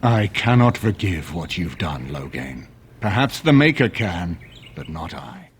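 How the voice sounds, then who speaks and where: A man's voice: low-pitched, big pitch swings, measured pace, a little hiss or hum. A man playing a resolute, dignified nobleman in a fantasy game, speaking in a formal, earnest way. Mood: indignant, resolute. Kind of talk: dramatic fantasy game acting.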